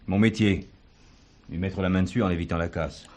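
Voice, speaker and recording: An actor playing a blunt but patient male police chief in a French radio drama, brisk and questioning. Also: sits low, fairly even intonation, darkish tone, close to an everyday voice. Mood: stern.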